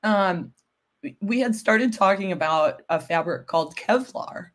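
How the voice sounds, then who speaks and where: A middle-aged woman, a bit hoarse from a cold, explaining textile materials on a video call, often checking with "right?". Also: mid-range voice, unhurried, a bit bright. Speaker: guest expert on textiles. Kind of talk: casual livestream chat. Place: home room with window blinds.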